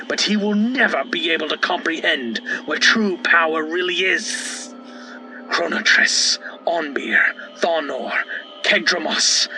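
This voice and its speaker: Slow, grandiose mad titan, played by a man. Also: a little high, poor audio. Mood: grandiose, scornful. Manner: grandiose, deliberate monologuing. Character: megalomaniac male mad titan plotting to remake existence. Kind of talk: audio drama villain acting.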